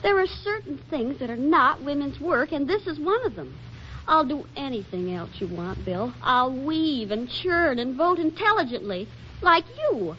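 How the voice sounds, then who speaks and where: A high, very lively young wife in a 1940s radio comedy. She is chatty, dramatic and insistent, with arch emphasis. The old recording is dull and slightly noisy. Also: normal speed. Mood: firm, indignant.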